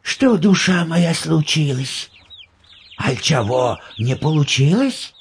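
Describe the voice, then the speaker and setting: A man voicing a kindly old peasant grandfather in a children's cartoon, coaxing in a folksy, drawn-out way. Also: fairly high pitch, big pitch swings, slight background noise, heavily acted. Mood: tender.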